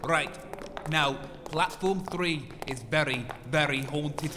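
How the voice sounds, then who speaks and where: A man's voice: on the high side, background noise. A man playing a theatrical, dodgy ghost-tour medium in a comedy audio drama, portentous and easily flustered. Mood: mysterious. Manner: melodramatic, portentous, drawn-out. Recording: long hall reverb.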